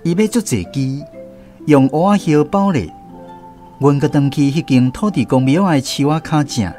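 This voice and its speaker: A male actor with a Taiwanese accent playing a grown man who recounts his boyhood in an animated radio drama narration. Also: a little high, moderate pace, close to an everyday voice. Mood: reflective, storytelling. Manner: animated first-person storytelling. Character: grown man fondly recounting his small-boy adventures. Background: plucked guitar music.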